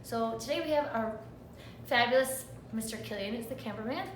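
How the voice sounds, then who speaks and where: High-voiced woman reading aloud to young children. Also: brisk, a little hiss or hum. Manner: addresses children with questions, expressive reading. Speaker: kindergarten teacher.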